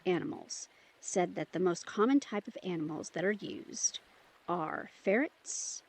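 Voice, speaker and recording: Audio drama: young female vampire, actress, soft-hearted and pleading, slow halting delivery with some gravel. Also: on the low side, lively intonation. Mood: subdued, explaining. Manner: halting, emotional, breaks sentences off. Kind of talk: immersive audio drama acting.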